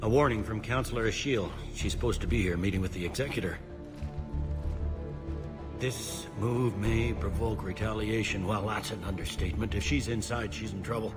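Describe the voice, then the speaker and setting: A man playing a gruff, sardonic, embattled security officer in a video game, speaking bluntly. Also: fairly low pitch, normal speed, over music, close to an everyday voice. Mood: sardonic, focused.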